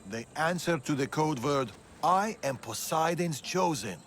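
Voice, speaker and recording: A man playing a grave, guarded older Persian assassin and father in a video game, speaking in a measured, serious way. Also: on the high side, expressive, fairly bright tone, slight background noise. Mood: businesslike. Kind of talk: video game cutscene acting.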